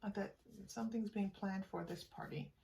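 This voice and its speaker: A woman giving an improvised spiritual tarot reading straight to the viewer, in a halting, conversational way that often seeks agreement. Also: mid-range voice, fairly even intonation, gravelly, mellow, distant mic, a little hiss or hum. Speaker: tarot reader and channel host.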